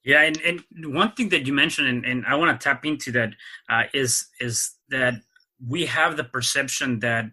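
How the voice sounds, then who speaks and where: A man's voice: mid-range voice, normal speed, pushed and bright. A man hosting a podcast interview, upbeat, often repeating words as he starts a thought. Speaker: podcast host.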